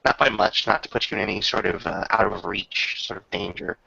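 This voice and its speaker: A man acting as game master, improvising narration for a tabletop roleplaying game in a halting, thoughtful manner. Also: fairly low pitch, fairly even intonation, creaky, sharp tone, muffled recording.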